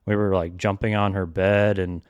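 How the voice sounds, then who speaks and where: A young man in his twenties from Georgia speaks with a smooth, resonant voice on the lower side. He tells his personal story earnestly and reflectively, pausing on ums as he goes. The sound is close and dry, with faint music underneath. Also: little pitch movement, no room sound, dull audio. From Georgia, United States. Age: 20s. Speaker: podcast host. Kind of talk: solo podcast, personal testimony.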